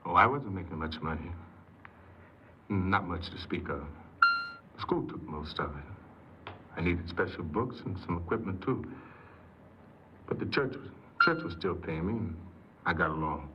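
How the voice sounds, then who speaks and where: An actor playing an earnest, insecure paroled ex-convict who tells his story haltingly in a 1950s radio drama. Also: low-pitched, animated. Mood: regretful, hesitant. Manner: hesitant, halting, repeats words. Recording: noisy muffled 1950s radio recording.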